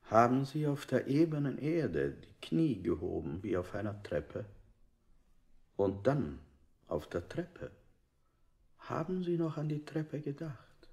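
A man's voice: mid-range voice, very animated, takes it slowly, gravelly, darkish tone, heavily acted. A man playing an interrogator in a radio play, putting formal, probing questions. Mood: coolly probing. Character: male interrogator, probing with absurd polite questions. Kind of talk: avant-garde German radio play acting.

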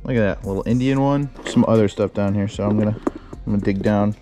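A young man, a storage unit vlogger, chatting excitedly as he digs through boxes in an indoor storage unit on a head-mounted camera mic. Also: fairly low pitch, fast talker, over music. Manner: excitable, keeps exclaiming wow and singing snatches. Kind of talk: excited casual narration while unboxing.

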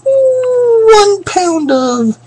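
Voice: very animated, drawn-out words, dark tone, full character voice. A performer voicing a cheerful female dog who runs a boat shop, barking as she talks. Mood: chirpy. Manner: high squeaky voice, barks between lines.

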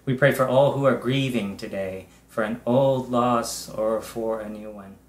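A middle-aged man, a pastor, leading a prayer in a measured, reverent way, in short phrases with long pauses. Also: mid-range voice, steady tone. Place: indoors, small room with bare wall. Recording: echoey room.

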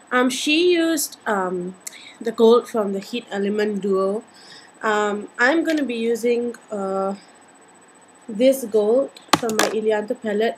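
A woman in her twenties with a Singaporean accent and a slightly husky voice, chatting playfully through a casual makeup tutorial. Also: a little high, a bit bright, a little hiss or hum, rough recording. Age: 20s. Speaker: beauty vlogger. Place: indoors, small home room.

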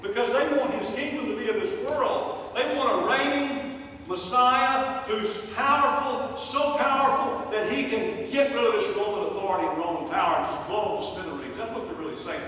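An older man delivers an emphatic sermon in a rather high voice, circling back on phrases to drive them home. The sound is heavily echoed and distant, as if caught from across a church. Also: a bit bright. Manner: emphatic, repeats key phrases for weight. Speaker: pastor preaching. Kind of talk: sermon to a congregation. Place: church sanctuary, indoors. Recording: very echoey, distant hall recording with noise.

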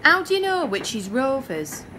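A woman's voice: sits high, very animated, normal speed, noisy background. An actress playing a naive, inquisitive young woman in a radio play, blunt in manner. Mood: puzzled. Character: naive questioning young woman, bored by football. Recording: train ambience behind.

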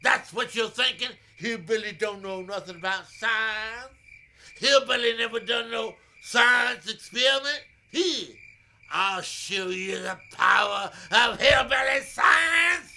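A nutty old hillbilly scientist, super high harsh voice, unhinged ranting. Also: male voice, slow pace, vocal fry, sharp tone, full character voice. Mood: bitter, manic. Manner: unhinged, ranting, sing-song menace. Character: crazed, resentful old hillbilly mad scientist.

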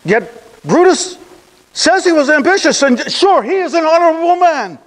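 A man performing a classical stage monologue as a grieving, impassioned, bitterly ironic Roman funeral orator, declaiming deliberately with weighted pauses. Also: very high voice, big pitch swings. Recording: long hall reverb.

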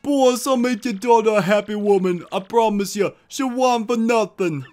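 A man's voice: high voice, moderate pace, darkish tone, faint music behind, natural voice. A man voicing an earnest, loyal young mobster in a game, urgently pleading with his boss.

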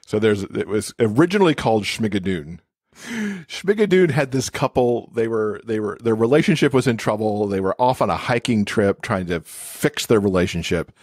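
Low-pitched enthusiastic man chatting as a guest. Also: lively intonation, moderate pace, darkish tone, no room sound, clean studio sound. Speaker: podcast guest. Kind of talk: casual chat.

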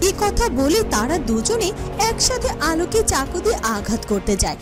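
A woman tells a scripted fairy tale for a cartoon in a very high voice. Her delivery is dramatic and lively in pitch, with music playing underneath. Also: normal speed. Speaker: storyteller.

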